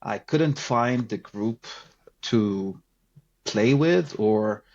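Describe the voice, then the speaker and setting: Middle-aged man, lowish voice, reflective storyteller, slightly dull recording. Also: animated, drawn-out words, a little mellow. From Lebanon. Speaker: podcast guest. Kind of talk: casual interview storytelling.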